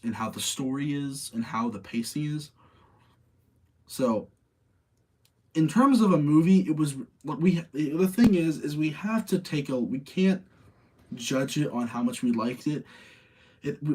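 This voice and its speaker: A man's voice: medium pitch, a little mellow, breathy edge. A man chatting casually as a streamer to a live audience, opinionated and debating with himself, often repeating phrases for emphasis. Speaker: streamer hosting a live movie-rating chat.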